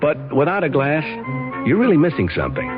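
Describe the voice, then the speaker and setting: A man's voice: a little high, wildly swooping pitch, fairly quick, narrow, tinny audio. A male announcer reading a scripted radio advertisement in a conversational, emphatic selling manner. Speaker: commercial announcer. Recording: thin old radio, loud music behind.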